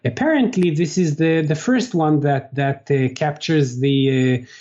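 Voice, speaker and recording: A man whose first language is Hebrew, answering interview questions as a translator, pausing often with fillers and restarts. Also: fairly high pitch, warm tone, highs cut off. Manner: halting, many fillers and restarts. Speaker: guest, translator.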